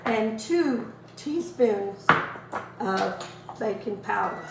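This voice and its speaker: A hoarse woman, chatting homely and rambling as she demonstrates a recipe in her home kitchen. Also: mid-range voice, animated, extremely slow, far from the mic, heavy background noise. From Azores. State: hoarse from a lingering cough. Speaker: cooking channel host. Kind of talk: casual cooking demonstration. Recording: roomy kitchen, voice off-mic.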